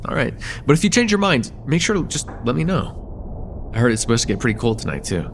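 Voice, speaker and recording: Young male student, played by a man, smitten and playfully teasing, for intimate audio roleplay; casual banter, expressive intonation, some vocal fry. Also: mid-range voice, fairly quick, slight background noise. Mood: easygoing, caring. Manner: casual, soft-hearted, hesitant, chuckling banter. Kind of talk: slice-of-life ASMR roleplay, intimate one-sided dialogue.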